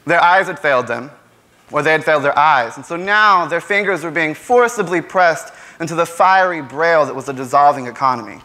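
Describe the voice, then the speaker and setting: Guy acting as a trapped young working class son in a stage play, bitter, sarcastic, highish sharp voice. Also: a little fast. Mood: poetic, measured. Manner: impassioned, sarcastic, rhetorical. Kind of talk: theatrical stage monologue.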